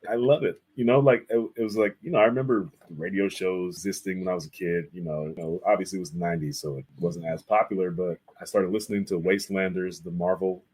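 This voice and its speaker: A man's voice: low voice, fairly even intonation, fast talker, hardly any pauses, darkish tone, husky, poor audio. A man in his thirties speaking as an interview guest, telling rambling, enthusiastic anecdotes in a casual way. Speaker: guest artist and art teacher.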